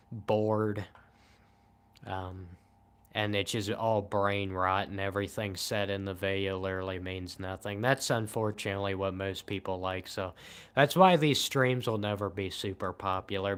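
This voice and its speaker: Casting note: young man in his twenties, livestream host, monotone delivery, lowish smooth voice. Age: 20s. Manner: monotone, calm and low-key, says he shows little emotion. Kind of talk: casual late-night livestream chat.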